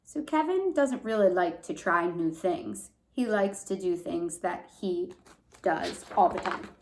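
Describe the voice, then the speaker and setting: A woman reading a storybook expressively to young children, pausing to explain simply and ask questions. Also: lowish voice, animated, vocal fry, slight background noise. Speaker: read-aloud teacher for young children.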